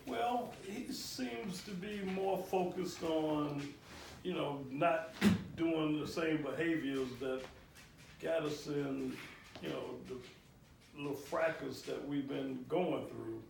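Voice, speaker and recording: A man playing a hopeful father in his fifties in a role-played family therapy session, speaking haltingly with many repeated words. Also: on the high side, vocal fry, reverberant, distant mic, background noise. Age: 50s. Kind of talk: improvised role play.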